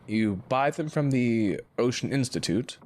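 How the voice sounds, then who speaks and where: A man acting a brooding, guarded doctor in an audio drama, with a dry, terse, deadpan delivery. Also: medium pitch, a little slow, natural voice. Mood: dry, cutting. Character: brooding male doctor, guarded, dryly cutting.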